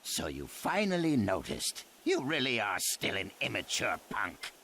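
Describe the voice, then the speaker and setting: An actor playing a stern, scornful old village leader in a dramatic video game dub, with a gravelly, commanding voice. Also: male voice, on the low side, huge pitch swings, measured pace, exaggerated voice. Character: stern gruff old male village leader, a seasoned ninja. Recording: loud music under the voice.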